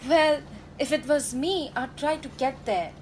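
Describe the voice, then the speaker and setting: Teen girl playing a high, slow-talking sentimental factory gossip. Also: darkish tone, a little hiss or hum. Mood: gossipy. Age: teenage high school student. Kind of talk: radio drama acting.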